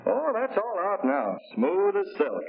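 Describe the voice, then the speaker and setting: A man's voice: very high voice, wildly swooping pitch, a little slow, gravelly, full character voice. An actor playing a practical, eager man admiring a new car in a chatty, emphatic 1940s promotional film style. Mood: eager, proud. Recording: tinny 1940 film soundtrack.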